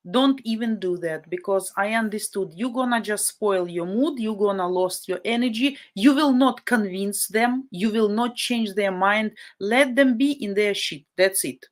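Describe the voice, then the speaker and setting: A woman from Ukraine, a native Russian speaker with a Ukrainian-Russian accent, hosting a live stream and talking bluntly and indignantly, often repeating herself for emphasis. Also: fairly high pitch. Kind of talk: casual live stream chat.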